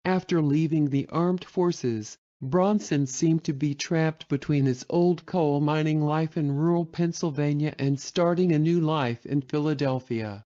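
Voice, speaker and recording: A man narrates a documentary in a steady, measured read. His voice sits a little high and sounds mellow and soft-edged. The audio is slightly dull and lo-fi.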